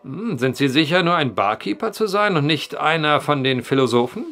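An actor playing a wry, polite journalist who poses as a farmhand, speaking eloquently in a German audio drama. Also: male voice, a little high, very animated, normal speed, a bit bright, soft background music. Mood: musing, wry. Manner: eloquent, dryly ironic, polite. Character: wry truth-seeking journalist posing as clumsy farmhand.

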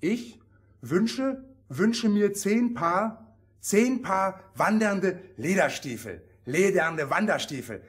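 A tongue-tangled fairy-tale runner in a radio drama. The voice is high and very husky, halting and repeating words. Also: male voice, animated, full character voice. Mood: eager, halting. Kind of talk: German fairy-tale radio play acting.

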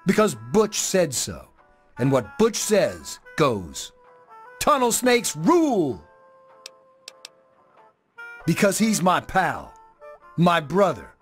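A man's voice: fairly high pitch, full character voice. A man voicing a cocky young gang member in a video game, boastful and bullying with a sneering swagger. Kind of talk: video game dialogue acting.

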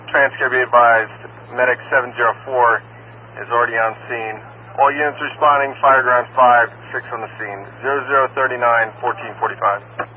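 A man working as a county fire dispatcher, reading formal, procedural announcements over two-way radio. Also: mid-range voice. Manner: procedural, repeats addresses and numbers in set phrases. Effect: two-way radio transmission. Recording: narrow, noisy scanner radio with hum.

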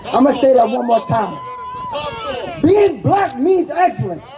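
A man's voice: very high voice, big pitch swings, a little slow, a crowd in the background. A man performing spoken-word protest poetry in an impassioned, emphatic way, repeating key lines for effect. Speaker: spoken-word poet and activist speaker.

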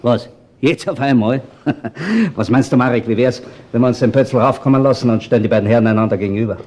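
Crime radio play: blunt Austrian cop male actor, sarcastic, rapid delivery, rough voice. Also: mid-range voice, darkish tone, natural voice. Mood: sardonic, pressing. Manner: brusque, sarcastic. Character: blunt, sardonic, pushy male police detective. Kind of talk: radio crime drama acting.

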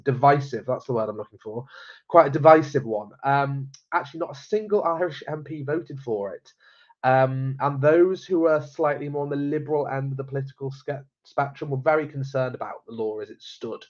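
A young man with a stuffy cold talks in a rambling, friendly way, full of fillers and repeated words. His voice sits in a middle pitch. The sound is a little dull, as if picked up by a webcam in a small room at home. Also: a little mellow. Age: young adult. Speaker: genealogy presenter. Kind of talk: casual live-stream explainer. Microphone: webcam at desk. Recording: slightly dull webcam audio.

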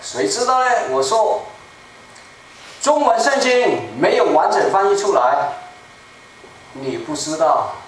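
An older man in his seventies delivers a sermon in a small church, taking his time and hammering home each point by repeating it. His voice sits a little high for a man. He is picked up from across the room, with heavy echo and a faint hiss behind him. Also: sing-song. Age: 70s. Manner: deliberate, slow and emphatic, repeating key phrases. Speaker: preacher. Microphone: room mic from a far camera. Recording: echoey room, distant mic, faint noise.

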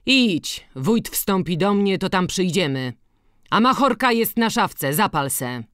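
A man's voice: high voice, a little slow, fairly bright tone, smooth, no room sound, clean studio sound, voice pushed up. A man voicing a shrewd, spiteful village blacksmith in an audiobook, with an ironic, needling delivery in rural dialect. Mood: mocking. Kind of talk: dramatized audiobook reading of rural dialect dialogue.

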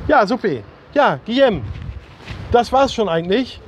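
A man's voice: extremely high pitch, lively intonation, measured pace, slight background noise. A middle-aged or older man hosting a casual vlog, chatting to camera and often repeating his words. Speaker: vlog host. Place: outdoor rooftop terrace by the sea. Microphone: camera a few steps away.

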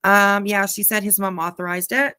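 A woman's voice: mid-range voice, steady tone, normal speed, bright, forceful tone, smooth, no room sound. Sarcastic woman, dry close recording. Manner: sarcastic, snarky, swearing. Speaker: reaction channel commentator. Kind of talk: casual mocking commentary over a played livestream clip.

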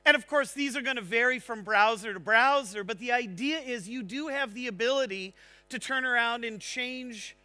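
A man speaking on a large conference stage, giving an energetic technical talk and checking in with the audience. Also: very high voice, normal speed. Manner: energetic, engaging, asks the audience "right?".

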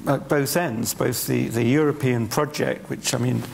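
Halting, gravelly male interviewer. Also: medium pitch, steady tone, darkish tone, a little hiss or hum. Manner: hesitant, halting. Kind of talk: on-stage public conversation. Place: large auditorium stage.

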